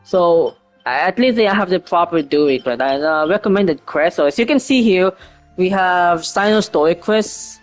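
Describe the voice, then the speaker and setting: A man's voice: sits high, lively intonation, unhurried. A man giving casual, hesitant gameplay commentary as a streamer, rambling and swearing freely over game music. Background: faint game music.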